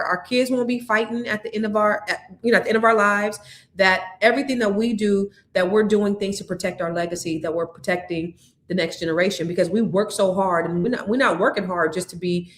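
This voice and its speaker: A woman's voice: fairly low pitch, roomy sound. A woman in her fifties hosting a live money podcast, chatting casually and talking straight to her audience with slang. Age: 50s. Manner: lively, slangy, addresses audience directly. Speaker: podcast host. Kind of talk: live stream casual chat.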